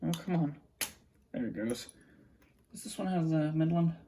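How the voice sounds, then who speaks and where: A man with a gravelly, creaky voice giving casual unscripted commentary, muttering to himself while he works with his hands. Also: fairly high pitch, lively intonation, normal speed, dark tone. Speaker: hobbyist vlogger showing a radio collection. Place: small indoor room at a cluttered desk.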